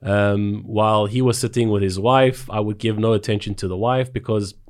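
A man's voice: on the low side, fairly even intonation, moderate pace. A man with a South African accent explaining sales in a conversational way, acting out bits of example dialogue. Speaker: sales expert, interview guest.

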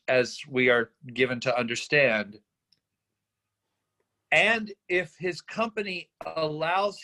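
A man with a fairly high voice talks through his critique in a group workshop. He is analytical and deliberate, building his points as he speaks. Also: sharp tone. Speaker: readers theatre workshop participant. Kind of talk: giving script feedback in a group discussion.

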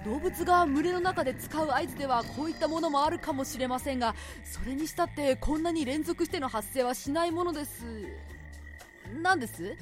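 High-voiced, whiny young male scholar played by a woman. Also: fairly quick, close to an everyday voice. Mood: analytical, curious. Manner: polite speech, plaintive and excitable. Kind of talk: radio drama acting. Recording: music behind the voice.

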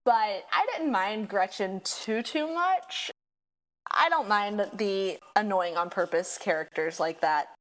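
A woman's voice: medium pitch, slow pace, fairly bright tone, poor audio. A woman in her twenties, a podcast co-host, chatting casually in a wry, laid-back way. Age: 20s. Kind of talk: casual chat.

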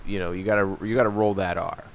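A man's voice: sits low, steady tone, quick pace. A man co-hosting a casual podcast chat, rambling and correcting himself, with some swearing.

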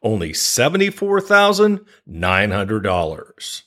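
A man's voice: fairly low pitch, huge pitch swings, slow pace, fairly bright tone. A man hosting a podcast, reading scripted promos and introductions and putting questions to a guest. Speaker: podcast host.

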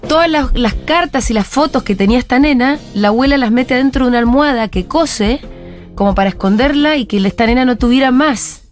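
A woman's voice: high voice, a little fast, faint music behind. A woman with an Argentine accent hosting a radio interview. She talks conversationally and reads listener messages aloud. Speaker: radio host.

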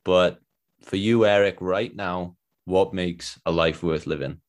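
A man's voice: low-pitched, steady tone, a little slow. A man with a Welsh accent hosting a podcast interview, friendly and encouraging. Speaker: podcast host.

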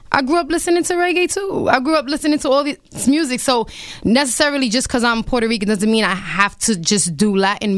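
A woman with a New York accent talks strongly and a little aggressively, padding her thoughts with fillers. Her voice is smooth and clear. The sound is close and dry. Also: mid-range voice, no echo. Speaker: guest singer. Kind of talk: casual radio interview.

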